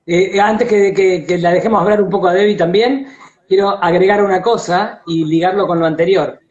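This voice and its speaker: An Argentine man hosting a casual, reflective live conversation over a video call, talking haltingly with frequent tag questions. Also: high voice, darkish tone. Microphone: live social media video call. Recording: dull lo-fi internet call audio.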